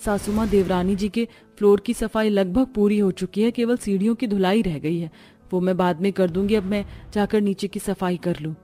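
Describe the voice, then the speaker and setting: A woman's voice: mid-range voice, steady tone, normal speed, dark tone, soft background music, lightly acted. A woman voicing a weary, meek poor daughter-in-law and mother in a cartoon family drama, plaintive and submissive.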